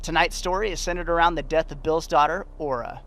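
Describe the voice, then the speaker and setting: A man's voice: a little high, a little fast, heavy background noise. A man with an American accent giving scripted television news reports.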